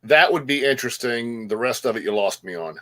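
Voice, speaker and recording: A man's voice: medium pitch, lively intonation, brisk, noisy background. A man co-hosting a live music talk show in a casual, conversational style. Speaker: co-host.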